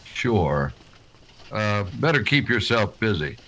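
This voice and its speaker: A man playing a weary, plain-spoken lawman in a radio western, terse and deadpan. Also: on the low side, takes it slowly, dull audio, very noisy, full character voice. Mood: stoic, curt. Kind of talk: old-time radio western acting.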